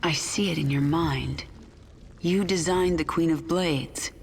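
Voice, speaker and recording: A woman's voice: sits low, a little slow, vocal fry, a little hiss or hum. An actress playing a stern, imperious queen of an alien swarm in a video game, speaking curtly with simmering menace. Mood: menacing, angry. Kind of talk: video game character acting.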